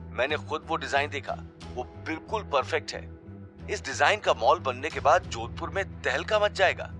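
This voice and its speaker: Eager yes-man employee, nervy, bright highish voice, audio drama. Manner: obsequious, eager. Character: deferential, eager, nervous male business subordinate. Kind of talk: Hindi audio drama acting.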